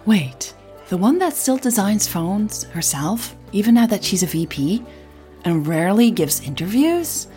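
A woman voicing a curious, wry female tech blogger in an audio drama, with a husky, breathy voice and a questioning manner. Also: medium pitch, sing-song, a little slow, dark tone, soft background music, pitched above the performer's own voice.

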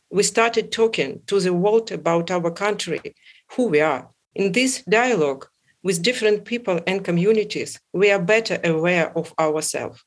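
A woman from the former Soviet Union reading a prepared personal account in earnest, measured English with non-native phrasing. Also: lowish voice. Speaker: speaker sharing a personal story.

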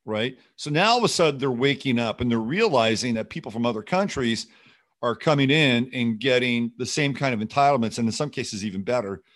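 A man's voice: mid-range voice, animated, a little fast, a bit bright. A man hosting a show with rambling, skeptical, sardonic and often profane unscripted political commentary.

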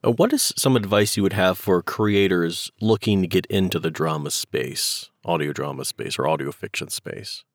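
A man hosting a podcast interview, asking questions in a soft-spoken, attentive way. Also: sits low, moderate pace, hardly any pauses, no room sound. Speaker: podcast host.

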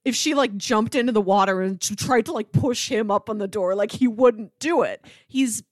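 A woman's voice: a little high, normal speed, no echo. A woman talking casually as a podcast co-host about film, explaining earnestly and peppering her talk with "like".

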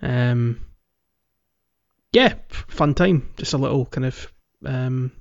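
A man hosting a podcast, chatting casually about a video game in a hesitant, halting way with repeated words. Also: medium pitch, creaky, dry recording, dull audio. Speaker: podcast host.

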